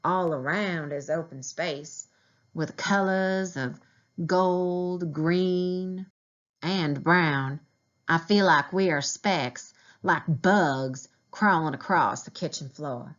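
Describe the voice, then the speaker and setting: A woman's voice: deep voice, slow pace, dull audio. A woman reading a children's diary novel aloud as a teacher would, in storybook narration.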